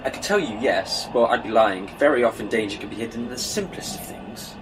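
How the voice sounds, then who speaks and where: An actor playing a wry, knowledgeable time-travelling adventurer in an audio drama, teasing and explanatory. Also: male voice, lowish voice, expressive, a little fast, noisy background, close to an everyday voice.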